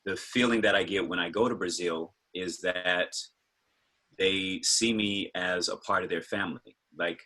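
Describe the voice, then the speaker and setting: Low, husky American man on a video call. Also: steady tone, measured pace, sharp tone. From United States. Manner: thoughtful, hesitant with fillers and restarts. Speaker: capoeira teacher, panelist. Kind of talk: casual panel discussion, personal opinion.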